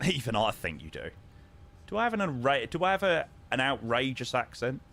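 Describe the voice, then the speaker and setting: A man's voice: mid-range voice, sing-song, a little fast, fairly bright tone. A young man with a London accent, chatting casually with viewers while flying a simulator and making radio calls. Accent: London English. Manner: chatty, joking, thanking viewers. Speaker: flight sim streamer.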